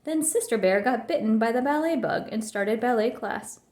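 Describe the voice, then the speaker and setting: A woman's voice: on the high side, expressive, moderate pace, darkish tone, roomy sound. A woman narrating a children's storybook as a scripted read-aloud.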